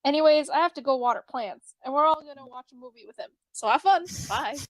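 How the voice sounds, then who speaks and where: A woman's voice: high-pitched, animated, fast talker, no room sound, low-quality recording. A woman playing a chatty, teasing young friend in an amateur fan fiction reading, with a wry, rambling delivery.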